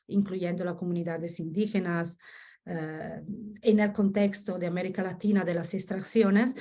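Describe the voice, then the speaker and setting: Italian-accented woman lecturing, halting delivery. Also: mid-range voice, mellow. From Italy. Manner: halting, searching for words, self-correcting. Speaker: researcher giving a lecture. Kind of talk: academic presentation in Spanish as a second language.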